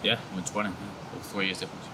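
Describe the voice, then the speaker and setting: A man's voice: low voice, level tone, brisk, vocal fry, noisy background. A man in his twenties being interviewed, chatting casually and laughing at himself, with lots of "sort of" and "like". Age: 20s. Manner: laid-back, self-mocking, hedging with fillers. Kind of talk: candid casual chat.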